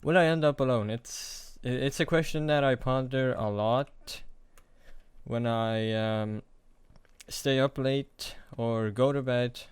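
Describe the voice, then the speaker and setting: A young man in his late teens talking alone to the camera in a hesitant, halting, self-deprecating way, as a confessional vlog. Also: medium pitch, smooth, no room sound. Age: young adult. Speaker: vlogger.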